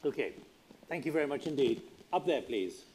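A man moderating a live debate in a theatre, briskly calling on audience members to speak. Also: mid-range voice, lively intonation, a little mellow, slight background noise, rough recording. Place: large theatre stage with audience.

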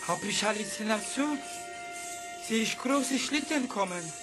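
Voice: mid-range voice, expressive, unhurried, music in the background, lightly acted. A performer playing a streetwise, bewildered schoolboy with blunt slang and dropped articles in an audio drama. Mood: amazed.

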